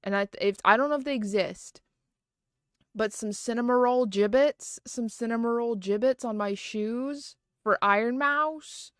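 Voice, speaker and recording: A woman's voice: fairly high pitch, measured pace, fairly bright tone, smooth, dry recording, studio quality. A woman livestreaming from home, chatting casually and rambling with lots of fillers and restarts. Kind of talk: casual chat.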